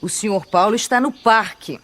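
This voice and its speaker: A woman's voice: medium pitch, fairly quick, a bit bright, lightly acted. A woman playing a patient, helpful local in a comic radio drama. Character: patient, helpful Brazilian local receiving a visitor. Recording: noticeable ambient background noise.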